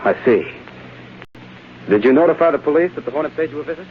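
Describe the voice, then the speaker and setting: A man's voice: fairly high pitch, expressive, fast, close to an everyday voice. A man playing a wary phone caller in old-time radio drama style. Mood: wary, surprised. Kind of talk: 1930s-40s radio acting. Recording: tinny, faintly noisy vintage radio recording.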